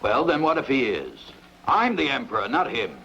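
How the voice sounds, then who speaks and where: A man's voice: medium pitch, huge pitch swings, normal speed, sharp tone, highs cut off, slight background noise, exaggerated voice. An actor playing a proud, pompous Roman emperor in a dubbed sword-and-sandal film, declaiming his lines. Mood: proud, defensive.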